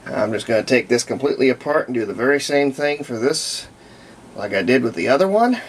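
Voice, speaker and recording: A man's voice: mid-range voice, animated, brisk, a little hiss or hum. A man talking casually and unscripted in a folksy way as he demonstrates a hands-on repair at an indoor workbench. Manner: folksy, unscripted, narrating while working. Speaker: hobbyist radio restorer presenting a repair.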